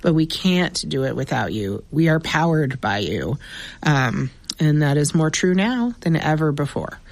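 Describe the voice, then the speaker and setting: A woman managing a radio station, making an earnest on-air pledge appeal with many fillers, her voice raspy as she loses it. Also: deep voice, big pitch swings, unhurried, no echo. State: losing her voice.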